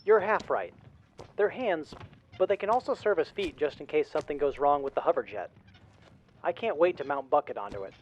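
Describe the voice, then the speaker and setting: A man's voice: fairly high pitch, animated, brisk, highs cut off, a little hiss or hum, lightly acted. A man playing an eager, naive, earnest young wasteland tinkerer in a post-apocalyptic audio drama.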